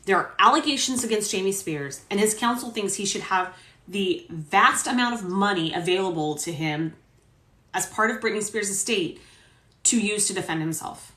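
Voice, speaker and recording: A woman's voice: medium pitch, normal speed, pushed and bright. A woman hosting a dramatic transcript reading, giving casual, sardonic asides and swearing freely. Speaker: host reading a court transcript with commentary. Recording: roomy echo.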